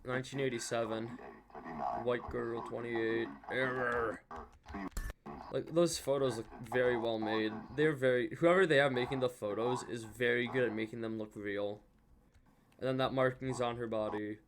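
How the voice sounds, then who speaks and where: A man's voice: medium pitch, steady tone, moderate pace, a crowd in the background. A man hosting a reaction video with casual, rambling, emphatic commentary.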